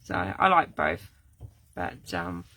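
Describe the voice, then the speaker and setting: Older woman, rambling live craft chat, gravelly croaky voice, bright tone, dry close sound. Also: very deep voice, sing-song, takes it slowly, no echo. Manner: rambling, many ums and restarts. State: voice going croaky. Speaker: card-making crafter. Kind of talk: casual live craft demo. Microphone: camera set up at desk.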